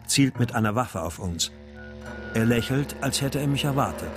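A man's voice: medium pitch, animated, normal speed, gravelly, over music. An actor narrating a radio crime drama in the first person as a grave father recounting his own ordeal. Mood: tense, grave.

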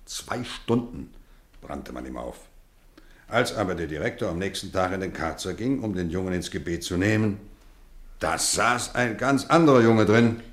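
A warm, strict boarding-school housemaster in a vintage radio play. He speaks low and lively, his pitch rising and falling, with a teasing kindness. A roomy studio echo hangs around his voice. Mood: storytelling. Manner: warm, measured, gently teasing. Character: kindly strict male boarding-school teacher, middle-aged. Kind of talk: 1950s radio drama acting.

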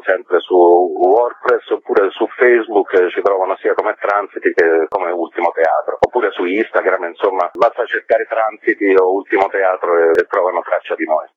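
A man's voice: low-pitched, slightly rough. A man from Sicily explaining his theatre project as an interview guest, heard over a phone line. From Sicily, Italy. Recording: tinny phone line, roomy echo.

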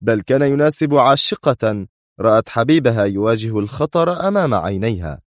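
A sneering male spy as voiced by a man narrating in a thriller audiobook. He is tense and forceful, with a mocking, accusing edge. The recording is close and dry. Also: sits low, lively intonation, a little fast, no room sound.

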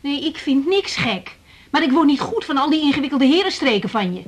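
A woman's voice: high voice, sing-song. An actress playing a guarded, defiant young woman doctor in a radio drama. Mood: indignant. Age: young adult. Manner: evasive, curt, quick to retort. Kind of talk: 1980s Dutch radio drama acting.

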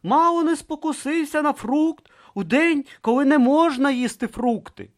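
A man's voice: very high-pitched, moderate pace, pitched above the performer's own voice. A man voicing a timid, earnest young male novice monk who speaks hesitantly and anxiously.

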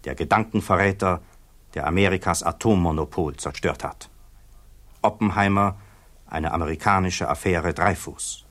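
A man narrating a documentary radio play as a sober, measured chronicler. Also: low-pitched, fairly bright tone.

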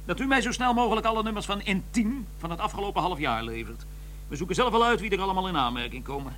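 An actor playing a sharp, sarcastic police inspector who questions a man in a radio drama. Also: high-pitched, slight background noise. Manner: sarcastic, probing. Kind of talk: 1990s radio drama acting.

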